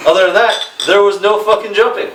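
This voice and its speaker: A man's voice: high voice, fairly even intonation, quick pace. A man co-hosting a podcast, defending his side in a casual debate with contradictions and swearing. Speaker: podcast co-host. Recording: echoey room, distant mic, background noise.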